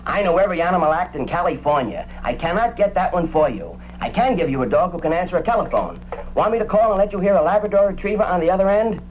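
An actor playing a proud, talkative animal-act agent in a radio drama, with a folksy, slangy way of talking. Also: male voice, high voice, expressive, fast. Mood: knowing, emphatic. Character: chatty, proud male animal-act agent, showbiz enthusiast. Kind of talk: old-time radio drama acting. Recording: muffled, faintly noisy old radio recording.

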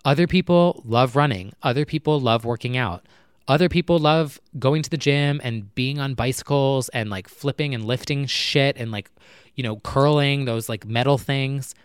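A young man chatting casually at night, rambling with lots of fillers and talking low so his neighbors won't hear. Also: moderate pace, smooth, dry recording, studio quality. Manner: talking low and quietly to keep neighbors from hearing. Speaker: vlogger. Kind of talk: casual personal chat to camera. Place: apartment at night.

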